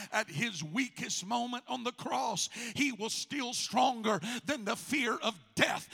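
A male pastor delivers a fervent, exhorting sermon in a very high-pitched voice. His tone is gravelly and raspy, heavy with creak. He leans hard on repeated phrases for emphasis. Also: sharp tone. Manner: emphatic, repetitive, exhorting delivery with dramatic swells. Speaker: pastor preaching to a congregation. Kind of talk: fervent Pentecostal-style sermon.

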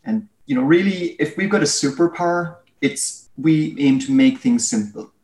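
A man's voice: a little high, lively intonation, moderate pace, darkish tone. A man with an Irish accent giving articulate, explanatory interview answers in a storytelling way. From Ireland. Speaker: guest, head of a research firm. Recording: roomy, echoey sound.

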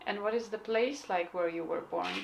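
A woman interviewer gently asking short questions. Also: medium pitch, normal speed, vocal fry, slight background noise, low-quality recording.

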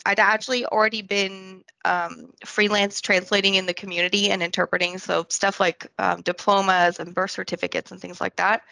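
A woman's voice: mid-range voice, vocal fry, bright, forceful tone, no room sound, poor audio. A woman in her thirties, born in Scotland and raised in France, talking casually as a podcast guest, with many ums and restarts. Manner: chatty, many ums and restarts, laughs easily. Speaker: podcast guest, quality and compliance manager. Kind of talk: casual interview talk.

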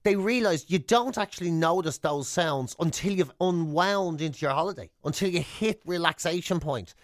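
A man with an Irish accent hosting casual, comic radio banter, telling stories in an animated way and repeating himself for emphasis. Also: high voice, dry recording, clean studio sound. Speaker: radio show host. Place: radio studio. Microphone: close broadcast microphone.

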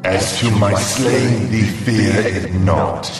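A man's voice: lowish voice, slow. A man voicing a menacing Ifrit demon in an audio drama, imperious and pronouncing doom in archaic English. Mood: cold, threatening. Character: menacing male Ifrit demon casting spells. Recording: distant, heavily echoing, music underneath.

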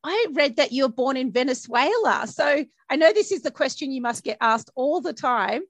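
A woman's voice: high voice, lively intonation, normal speed. A woman with an Australian accent hosting a podcast, upbeat and bubbly in her interviewing. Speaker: podcast host.

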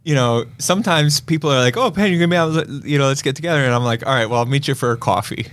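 A man's voice: medium pitch, quick pace, a bit bright, no room sound. A man co-hosting a podcast in casual chat, with a rambling, conversational way of talking. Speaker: podcast co-host.

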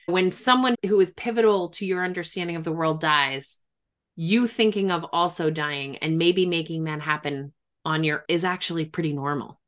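A woman's voice: on the low side, normal speed, fairly bright tone. A middle-aged woman speaking as a therapist in a reflective conversation, earnest and emphatic, often thinking aloud as she goes. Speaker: therapist and grief expert.

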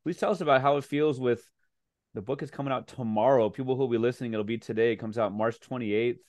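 A man's voice: mid-range voice, fast talker, a little mellow, no echo. A man hosting a podcast interview over a virtual call, asking eager, rambling questions with frequent restarts. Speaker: podcast host. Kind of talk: conversational interview. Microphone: remote call mic.

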